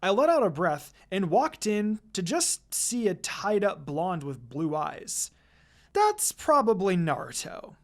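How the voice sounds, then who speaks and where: The reader narrates fan fiction in the first person with an expressive delivery. The pitch is mid-range and moves around in a very lively way. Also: unhurried, few pauses.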